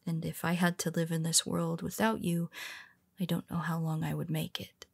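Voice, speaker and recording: A woman voicing a young female superhero in an audio roleplay, speaking hesitantly in a husky half-whisper with many restarts. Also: low voice, flat delivery, normal speed, no echo, exaggerated voice. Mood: tender, confessional.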